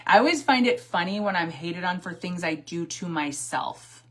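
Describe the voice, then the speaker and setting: A woman's voice: on the low side, lively intonation, moderate pace. A woman in her forties chatting casually on a livestream while doing her makeup, sassy and chatty, answering viewer comments and laughing often. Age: 40s. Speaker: livestream host.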